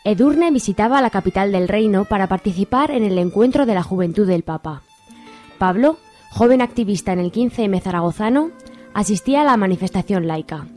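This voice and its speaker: A woman's voice: mid-range voice, expressive, a little fast, a little mellow, faint music behind. A woman reading scripted narration as the narrator of a radio drama.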